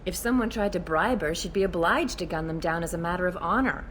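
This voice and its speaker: A woman's voice: lowish voice, brisk, fairly bright tone, slight background noise, close to an everyday voice. A woman playing a wary alien police officer in a video game, explaining matters frankly with slight exasperation. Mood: frank, cautious.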